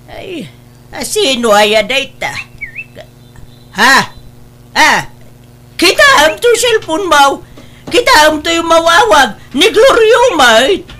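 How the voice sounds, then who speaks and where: Older mother, fairly high voice, slow delivery, earnest, concerned, radio drama. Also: sing-song, bright, forceful tone, a little hiss or hum, heavily acted. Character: concerned older mother interceding for her daughter. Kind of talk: Ilocano radio drama acting.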